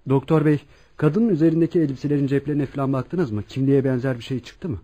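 A man's voice: mid-range voice, fast talker, warm tone, muffled recording, lightly acted. A man playing an inquisitive police officer in a radio drama, with a formal, official way of questioning. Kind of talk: Turkish radio theatre acting.

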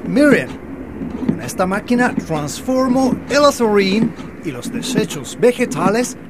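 An actor plays a welcoming man who tinkers with inventions in a scripted family drama. His pitch moves around in a lively way, and clear ambient noise sits behind him. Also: unhurried. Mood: friendly, inviting. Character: friendly hospitable man, amateur biofuel inventor. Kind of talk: scripted educational drama dialogue.

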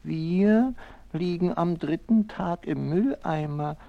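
A man's voice: fairly high pitch, slow, gravelly, highs cut off, voice pushed up. A man voicing anxious toys and animals in a plaintive, agitated way for a children's radio story. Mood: plaintive, fearful.

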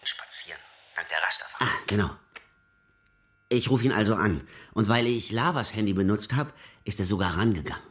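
A man's voice: on the low side, lively intonation, fairly quick, fairly bright tone, husky. Sarcastic man on the run. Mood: wry, rambling. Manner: sarcastic, colloquial, digressive. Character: sarcastic, rambling fugitive man, prosecutor's resentful son. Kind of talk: first-person narration and dialogue in German radio crime drama.

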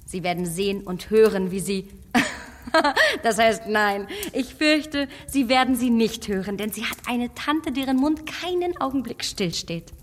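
A woman's voice: fairly high pitch, animated, measured pace. An actress playing a clever young lady in a radio play, probing and ironic in her questions. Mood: eager.

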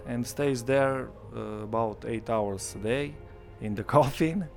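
Romanian-accented man in casual, halting interview talk. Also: medium pitch, vocal fry, faint music behind. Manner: halting, simple non-native English. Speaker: waiter acting as interpreter.

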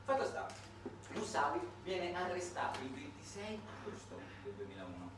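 Male satirical stage narrator, ironic and dramatically halting, lively intonation, distant mic in a highly reverberant room. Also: noisy background. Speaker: monologue actor and narrator. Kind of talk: satirical theatrical monologue. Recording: very echoey room, recorded from afar.

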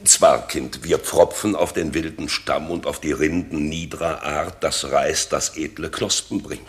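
An actor playing a loyal, courteous older counsellor in a classical German radio drama, speaking formally and with measure. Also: male voice, rumbling low, fairly even intonation, a little slow. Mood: instructive, thoughtful.

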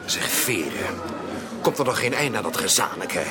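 An actor playing an irascible, blunt, exasperated gentleman in a Dutch radio drama. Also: lowish voice, expressive, moderate pace, close to an everyday voice. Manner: exasperated, blustering. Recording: radio play with crowd noise behind.

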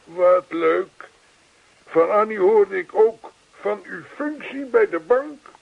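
Kindly old widower, slow, gravelly, earnest, old-fashioned radio drama. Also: male voice, high voice, animated, exaggerated voice. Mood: warmly earnest. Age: older. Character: kindly, talkative, lonely old widower visiting neighbours. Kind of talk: radio play acting. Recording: dull, lo-fi old radio play recording.

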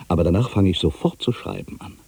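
An actor playing an aging, world-weary writer in an introspective, theatrical literary monologue, anxious and brooding, given to grandiose fancies. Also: male voice, deep voice, fast, breathy edge, dry recording, exaggerated voice. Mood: exalted. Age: older. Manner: theatrical, introspective, swinging between hushed and emphatic delivery.

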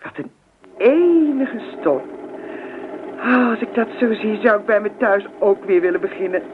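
A woman acts a gossipy neighbour in an old radio drama, animated and effusive, full of curiosity. The recording is muffled, with clear background noise. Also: mid-range voice, unhurried, lightly acted. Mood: enthusiastic. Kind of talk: radio play acting.

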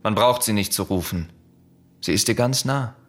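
An actor playing a cold, vengeful young prince in a radio play of Greek tragedy, stern and commanding with a husky voice. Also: male voice, on the low side, moderate pace, fairly bright tone. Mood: cold, taunting.

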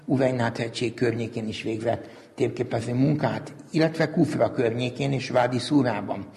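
A man's voice: medium pitch, darkish tone. A man giving detailed, formal and precise explanations as a researcher in an interview. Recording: long reverberant room.

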